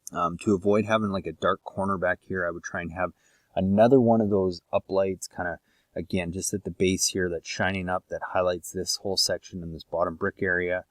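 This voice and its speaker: Deep-voiced Canadian man, relaxed explainer. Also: a little fast, dry recording. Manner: relaxed, many fillers, thinks aloud while pointing things out. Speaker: landscape lighting consultant. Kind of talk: casual walkthrough explaining a lighting plan.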